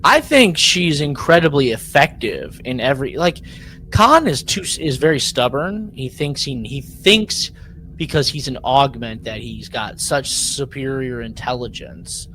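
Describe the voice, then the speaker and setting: A man's voice: medium pitch, lively intonation, unhurried, sharp tone. A man with a mid-range voice talks through a story as a podcast co-host. His delivery is conversational and exploratory, circling back to check his points. There is noticeable noise in the recording. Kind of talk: casual discussion recapping a drama episode.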